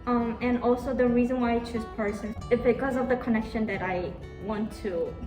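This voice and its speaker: Young Korean-born woman, hesitant, bright pitch. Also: steady tone, brisk, reverberant, music in the background. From South Korea. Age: 20s. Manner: hesitant, many "um"s. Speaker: guest. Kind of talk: casual Q&A answers.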